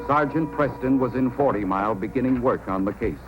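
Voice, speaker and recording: A man's voice: medium pitch, a little fast, rough recording. Dramatic old-time radio man announcer, music behind. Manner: dramatic, declamatory. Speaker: announcer and narrator. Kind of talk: 1940s radio scripted narration.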